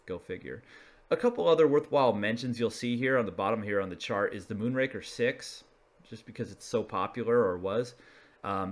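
Low-voiced man giving a casual explanation. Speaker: video presenter, radio hobbyist. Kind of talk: casual explainer talking through slides.

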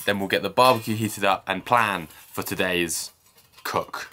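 A man's voice: low voice, moderate pace, bright, forceful tone, a little hiss or hum. Chatty young man vlogging from a cabin. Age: young adult. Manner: chatty, self-deprecating, explains as he goes. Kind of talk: casual vlog narration. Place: snowy mountain cabin, indoors and out. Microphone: handheld camera at arm's length.

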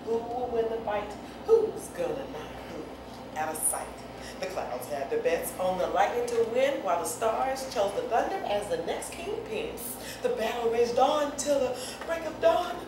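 A middle-aged woman reading and chatting to children in an expressive, playful, teasing way, like an author sharing her poem. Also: high-pitched. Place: bare indoor room with office chairs. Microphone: far camera microphone. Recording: echoey room, far mic, noisy.

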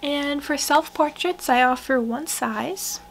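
A young woman doing a soft-spoken ASMR roleplay, hushed and gentle, often slipping into whispers, close to the mic in a small room. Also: high-pitched, slow pace, gravelly, slight background noise, rough recording. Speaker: ASMR roleplayer playing a portrait painter. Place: small wood-panelled room indoors.

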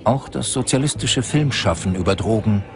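A man's voice: lowish voice, lively intonation, normal speed. A man reading scripted documentary narration as the narrator.

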